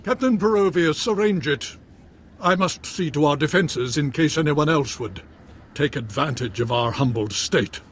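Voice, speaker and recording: An actor playing a proud nobleman baron in a video game, with a husky voice and a stately, dramatic, formal manner. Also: a little high, animated, normal speed, a little hiss or hum, close to an everyday voice. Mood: weary, formal.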